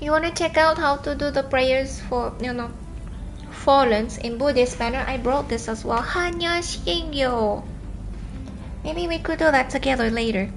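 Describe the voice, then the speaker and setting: A high-pitched woman with a Japanese accent talks on a live stream from home. She speaks quickly and earnestly, often restarting her sentences as she reads and answers viewer comments. Soft music plays faintly in the background. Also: gravelly, rough recording. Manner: earnest, fast-talking, with halting restarts. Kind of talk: casual live stream chat.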